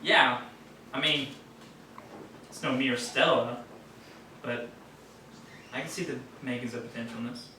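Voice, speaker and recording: A man's voice: medium pitch, steady tone, measured pace, pauses often, bright, forceful tone. A man playing a self-assured radio drama writer in a stage play, casual and slightly patronizing. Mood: encouraging, matter-of-fact. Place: theater stage, distant mic. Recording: very echoey stage, distant, noisy.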